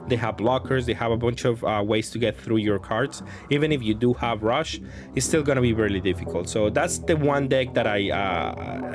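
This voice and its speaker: Casual man thinking aloud over music. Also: mid-range voice. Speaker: card game content creator and host. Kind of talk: casual unscripted gameplay commentary.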